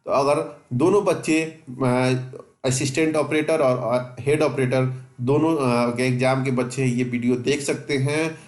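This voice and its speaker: A man's voice: mid-range voice, steady tone, a little slow, a little mellow. A man teaching exam preparation informally to students, repeating and stressing his points in a reassuring way. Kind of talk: informal exam-prep lesson, explaining to students. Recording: echoey, reverberant room.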